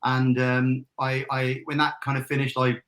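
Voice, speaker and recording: A chatty British man in his forties with a faint Irish lilt, laughing as he tells stories about himself. His voice is bright and clear at an ordinary pitch. He often stops and restarts mid-sentence. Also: monotone, moderate pace. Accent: British, with a trace of Irish. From England. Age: 40s. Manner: chatty and self-deprecating, laughs often, with many restarts and fillers. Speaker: guest, retired army major and business founder. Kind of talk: casual interview chat.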